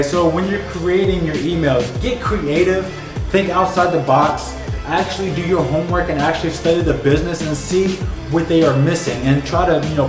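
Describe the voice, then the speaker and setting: A man's voice: on the high side, reverberant. Stuffy-voiced man teaching at a whiteboard. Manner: energetic, direct, repeats "okay?" to check in. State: stuffy from a cold. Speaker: business coach. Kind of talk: whiteboard tutorial. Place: bright office by a whiteboard.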